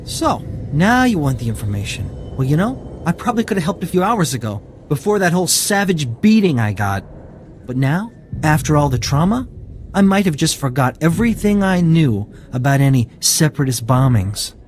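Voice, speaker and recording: Whiny shifty informant, swooping higher voice. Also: male voice, very animated, moderate pace, slight background noise. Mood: wheedling, resentful. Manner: whiny, indignant, wheedling. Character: aggrieved, shifty male camp informant fishing for payment. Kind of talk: video game voice acting.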